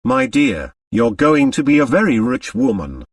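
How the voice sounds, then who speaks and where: A man's voice: mid-range voice, unhurried, vocal fry, no echo, clean studio sound, distant mic, full character voice. A man voicing a big, middle-aged storybook doctor, breezy, blustering and emphatic in his indignation. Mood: astonished. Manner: energetic, emphatic, fuming.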